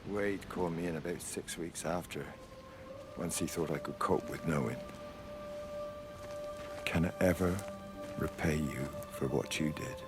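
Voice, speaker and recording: An actor playing a gruff, plain-spoken middle-aged countryman in a video game drama, blunt and colloquial. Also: extremely low pitch, measured pace, pauses often. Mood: grieving, bitter. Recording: music under the voice.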